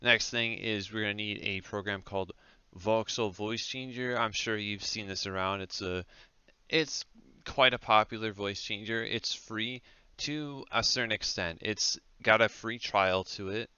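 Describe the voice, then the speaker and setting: A man's voice: fairly low pitch, a little slow, pushed and bright, smooth, no room sound, muffled recording. A man hosting a casual step-by-step tech tutorial as a voice-over, speaking informally with frequent hesitations. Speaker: tutorial host.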